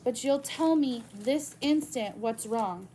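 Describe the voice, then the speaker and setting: A woman's voice: on the high side, animated, slow, warm tone, a little hiss or hum. A woman voicing a stern, caring guardian. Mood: firm.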